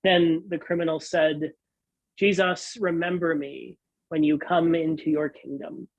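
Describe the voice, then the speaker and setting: A man reading scripture as a pastor, in a solemn, measured and deliberate manner. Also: a little high, fairly even intonation, highs cut off.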